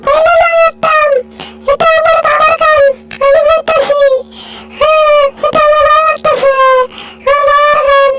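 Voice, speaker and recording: A woman voicing a small, excitable talking cartoon animal with a sing-song, exclaiming manner in a homemade cartoon. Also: very high voice, normal speed, soft background music. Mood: cheerful, proud.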